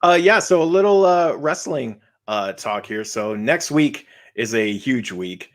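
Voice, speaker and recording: A man's voice: mid-range voice, animated, unhurried. A man co-hosting a podcast, chatting casually like an enthusiastic fan, with emphatic delivery. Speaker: podcast co-host.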